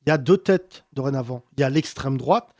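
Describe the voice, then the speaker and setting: A man hosting a heated political debate, talking with passion and emphasis and wide swings in pitch. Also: a little fast, a little mellow, no echo, clean studio sound. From France. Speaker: host.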